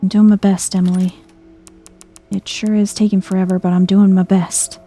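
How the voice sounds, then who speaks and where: Soft-spoken woman, low-key gaming ASMR. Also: flat delivery, moderate pace, faint music behind. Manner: soft-spoken, chill, no yelling. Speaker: gaming video host. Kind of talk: soft-spoken ASMR game playthrough commentary.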